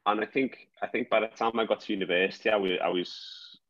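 A man's voice: fairly low pitch, fairly even intonation, fast, a bit bright. A young man who stammers, talking casually about growing up with it, with many restarts. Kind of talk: casual conversation. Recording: dull lo-fi sound.

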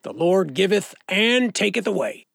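An actor playing a firm, gruff male police captain. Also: high voice, very animated, normal speed, a bit bright, dry recording, studio quality, full character voice. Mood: stern.

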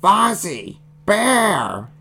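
Storybook baby creature, played by a woman: high, frantic, barking out words in short bursts. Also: sing-song, drawn-out words, creaky, slightly rough, full character voice. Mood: insistent. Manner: shouting single words. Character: wild, excitable baby monster shouting in bursts.

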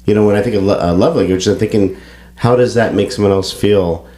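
A man's voice: fairly low pitch, fairly quick, mellow. A man co-hosting a podcast, congested and stuffy, talking in loose rambling chat with frequent restarts. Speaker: podcast co-host. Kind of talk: casual chat.

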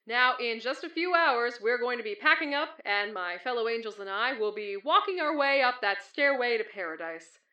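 A woman playing a smug, grandstanding male archangel making an announcement through an echoing loudspeaker in an audio story. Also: fairly high pitch, animated, normal speed, hardly any pauses. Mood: smug, showy. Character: Gabriel, smug male archangel announcer, showy and self-satisfied. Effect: loudspeaker echo. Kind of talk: announcement in audio fan fiction. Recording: dull, echoing loudspeaker sound.

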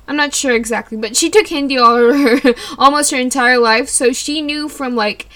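Bright-voiced giggly young woman. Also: fairly high pitch. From California. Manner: rambling, giggly, lots of likes and you knows. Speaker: podcast host. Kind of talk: casual solo podcast chat.

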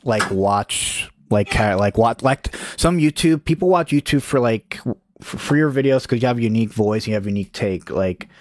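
A man who makes video reviews, talking in a casual group chat in a flat, monotone voice with many halting restarts. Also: mid-range voice, no room sound, studio quality. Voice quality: self-described monotone voice.

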